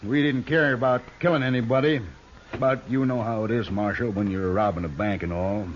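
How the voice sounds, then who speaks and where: An actor playing a folksy, menacing outlaw gang leader in an old radio western, with a drawling, mockingly genial way of talking. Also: male voice, mid-range voice, fairly even intonation, a little fast, natural voice. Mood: folksy, unrepentant. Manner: drawling rustic speech, mockingly genial, bullying. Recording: muffled, noisy old radio recording.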